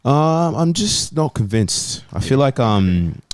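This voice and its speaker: A man's voice: medium pitch, very animated, takes it slowly. A man talking casually as a football panellist, giving his opinion in a debate.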